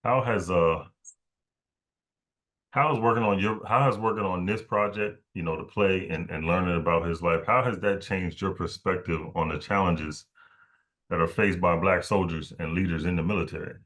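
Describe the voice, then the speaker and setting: A man's voice: low-pitched, steady tone, normal speed, fairly bright tone. A man hosting a podcast, putting questions to his guest in a conversational interview style.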